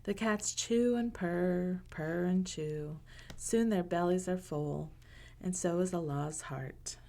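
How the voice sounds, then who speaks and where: A woman reading a picture book aloud to children in a gentle, unhurried way, with casual asides. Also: fairly low pitch. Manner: gentle, unhurried, pausing between short phrases.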